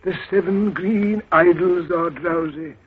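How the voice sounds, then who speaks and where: High-voiced mournful beggar, goes on and on, tinny old radio. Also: male voice, slow pace. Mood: lamenting. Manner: mournful, elaborate lamenting. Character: lamenting male beggar, solemn and wordy. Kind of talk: 1930s radio drama acting. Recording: tinny 1930s radio with faint hiss.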